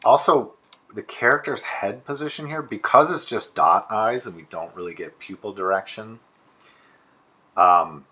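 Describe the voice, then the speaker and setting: Low-voiced man, bright tone, dull recording. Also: breathy edge. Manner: hedging, thinking aloud, halting and restarting. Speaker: animation instructor giving feedback. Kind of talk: informal spoken critique of student work. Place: indoors at a computer, screen recording.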